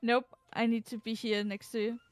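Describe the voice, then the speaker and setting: A woman streamer chatting casually about a game while playing, giving measured opinions. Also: on the high side, level tone, soft background music. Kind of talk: casual gaming chat.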